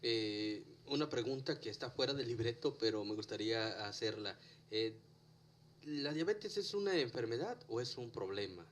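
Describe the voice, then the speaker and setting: A man's voice: medium pitch, expressive, moderate pace. Male host, conversational, loose with fillers, faint hum behind. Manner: friendly, chatty, hesitant fillers. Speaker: radio show host. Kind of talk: hosting an interview.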